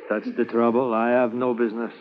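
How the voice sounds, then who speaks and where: French Canadian Mountie, halting English, fearless, 1950s radio serial, a man acting it, tinny hissy transcription. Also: medium pitch, level tone, lightly acted. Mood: earnest. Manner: halting broken English with frequent uh. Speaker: Mountie constable.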